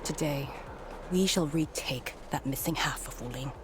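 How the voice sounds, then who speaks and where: Actress as commanding woman, slightly low voice, formal, resolute, video game story, noisy ambience. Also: normal speed, lightly acted. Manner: formal, declarative. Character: resolute, commanding woman leading a crisis team.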